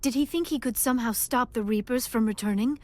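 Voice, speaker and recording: A woman playing a gentle, earnest crewmate in a video game, with careful, formal diction. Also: on the high side, fairly quick, lightly acted. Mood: reflective.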